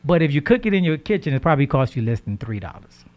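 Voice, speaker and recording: A man hosting a radio talk show, giving casual diet advice. He speaks with lively energy, repeats words, and keeps checking in with "right?". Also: fairly high pitch, quick pace. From Haitian background. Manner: animated, repeats words, asks "right?" often.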